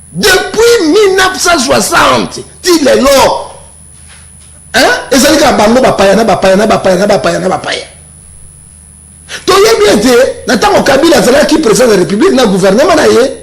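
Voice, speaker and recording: A Congolese man giving an animated, emphatic argument as an interview guest, repeating himself and throwing out rhetorical questions. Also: extremely high pitch, creaky. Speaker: self-styled prophet interviewed as guest. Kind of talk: animated political and religious argument. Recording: echoey, reverberant room.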